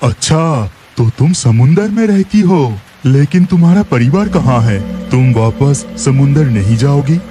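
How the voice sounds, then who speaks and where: A man acts a caring, resourceful village husband in a cartoon tale. His voice sits at an ordinary middle pitch, and music plays behind him. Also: lively intonation, unhurried, hardly any pauses, natural voice. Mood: curious. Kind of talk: children's cartoon story acting.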